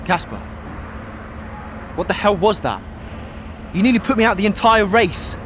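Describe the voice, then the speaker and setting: A man's voice: high-pitched, expressive, normal speed, fairly bright tone, slight background noise. A man voicing a young racing driver confronting his teammate. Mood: angry. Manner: indignant.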